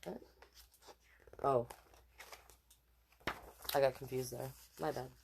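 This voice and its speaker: A woman reading a children's picture book aloud in an expressive, animated way, with casual asides. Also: rumbling low, slow, vocal fry, background noise. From Canada. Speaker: storytime reader.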